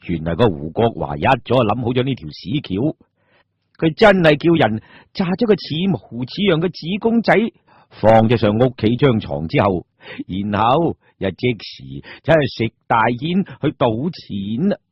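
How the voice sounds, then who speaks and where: A male Cantonese storyteller narrates dramatically. His voice is husky and theatrical, with widely swinging pitch, recorded dry and close. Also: measured pace, no room sound, dull audio. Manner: expressive, theatrical.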